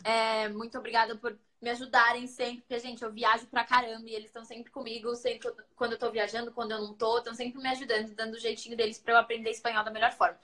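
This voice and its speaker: Brasileira animada falando numa live. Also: female voice, on the high side, level tone, fairly bright tone, roomy sound. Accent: Brazilian Portuguese. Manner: chatty and playful, quick asides to viewers. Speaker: livestream host. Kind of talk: casual livestream chat.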